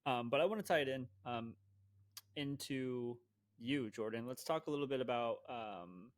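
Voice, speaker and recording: A man's voice: mid-range voice, dry recording. A man co-hosting a conservation podcast, speaking casually with many fillers and restarts. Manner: rambling, halting with many restarts. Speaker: podcast co-host.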